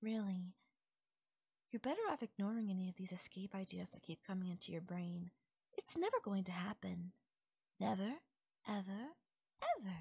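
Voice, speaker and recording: A woman's voice: mid-range voice, moderate pace, vocal fry, long pauses, a little mellow, breathy edge, no room sound, studio quality. A woman playing a possessive, doting fairy captor in an intimate ASMR audio roleplay, speaking sweetly and lilting, sometimes nearly whispering. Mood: soft, possessive.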